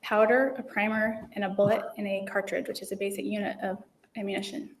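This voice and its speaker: A woman's voice: mid-range voice, little pitch movement, fairly quick, a little hiss or hum. A woman, a forensic firearms examiner, giving courtroom testimony in short answers that rise at the end. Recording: roomy courtroom audio from far off.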